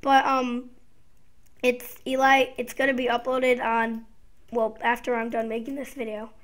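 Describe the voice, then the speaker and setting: A child or young teen hosting a casual gaming video with live gameplay commentary, sometimes slightly raspy. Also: medium pitch, flat delivery, unhurried, fairly bright tone. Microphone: gaming headset.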